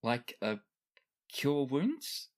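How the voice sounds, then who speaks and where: A man's voice: mid-range voice, wildly swooping pitch, extremely slow, pitched above the performer's own voice, full character voice. A man playing an old, deferential head doctor who speaks formally in a tabletop fantasy game. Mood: astonished. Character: old, polite, astonished male head doctor.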